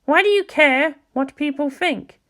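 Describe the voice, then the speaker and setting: A woman's voice: high-pitched, a little slow, fairly bright tone. A woman voicing an old male monk, a sardonic teacher who asks pointed, challenging questions in a dramatised story. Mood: pointed questioning. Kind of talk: dramatised fan fiction reading.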